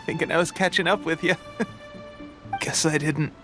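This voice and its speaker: An actor playing an ailing young soldier in a video game, weary and faltering while trying to stay light-hearted. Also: male voice, on the high side, fairly even intonation, close to an everyday voice. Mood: brave, fading. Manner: halting, trailing off, bravely light-hearted. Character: ailing young soldier, earnest, putting on brave cheer. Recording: music behind the voice.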